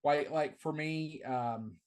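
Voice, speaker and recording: A middle-aged man, a wrestling commentator and ring announcer, talking in a casual podcast interview with enthusiasm, often repeating words as he starts a phrase; his voice is not deep but it carries. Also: slow, a little mellow, clear, resonant tone.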